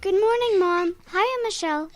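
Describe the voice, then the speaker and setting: A woman's voice: very high-pitched, normal speed, dry recording, faint music behind. A woman playing a young schoolgirl in a children's radio drama, helpful, frank and plain-spoken in a childlike way. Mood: casual, evasive.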